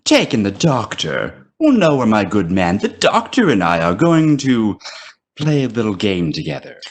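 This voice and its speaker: A man's voice: on the low side, huge pitch swings, measured pace. An actor playing a sinister, playful immortal toymaker in an audio drama, theatrical and taunting in his mock courtesy. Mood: smug menace.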